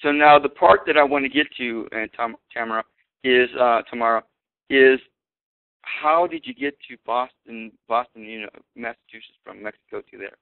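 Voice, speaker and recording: A man's voice: mid-range voice, fairly even intonation, moderate pace, clear, resonant tone. A male radio host interviewing a guest, stumbling and repeating words as he asks questions. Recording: tinny phone-quality line.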